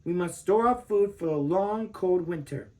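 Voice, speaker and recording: Man as a high-voiced storybook mother bear. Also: moderate pace, dark tone, lightly acted. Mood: instructing. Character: mother bear, protective and easily startled.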